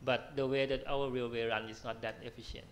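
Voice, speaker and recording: A man speaking on a discussion panel who hesitates and restarts often as he answers. His voice has a gravelly edge. A faint hum of noise sits behind him. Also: mid-range voice, flat delivery, brisk. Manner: hesitant, many fillers and restarts.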